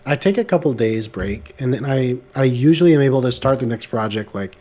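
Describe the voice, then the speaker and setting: Brief: male, French first language, slightly gravelly, hesitant casual talker, mid-range pitch, laptop mic. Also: lively intonation, fairly quick, warm tone. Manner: hesitant, many "like" fillers. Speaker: guest video creator. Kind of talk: casual podcast chat. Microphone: laptop microphone.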